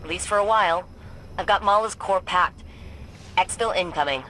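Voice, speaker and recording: A woman's voice: fairly low pitch, normal speed, pushed and bright, a little hiss or hum, low-quality recording. An actress playing a confident, wisecracking female tech expert in a video game, talking casually and quippily. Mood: focused, wry. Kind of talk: scripted game dialogue.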